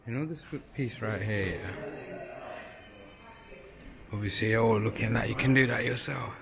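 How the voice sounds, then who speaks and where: A man's voice: on the low side, moderate pace, creaky. A man interviewing a painter in a gallery, in a casual, encouraging and slangy way. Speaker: interviewer filming the visit. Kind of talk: casual vlog chat. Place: indoor art gallery. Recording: gallery with crowd chatter behind.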